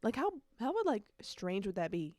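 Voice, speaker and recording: A woman's voice: mid-range voice, big pitch swings, moderate pace, darkish tone, no echo, studio quality. A nineteen-year-old woman chatting casually on a solo podcast, rambling and correcting herself, with youthful slang and emphatic repetition. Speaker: podcast host.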